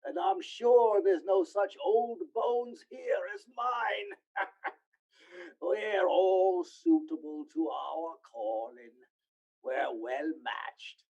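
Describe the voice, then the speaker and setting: A sly, shabby old junk dealer in a radio play. His voice is high and swings widely, and he draws his words out slowly. The audio is a little muffled. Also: lively intonation, darkish tone, full character voice. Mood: sly, welcoming. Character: old, shabby, miserly male rag-and-bone dealer.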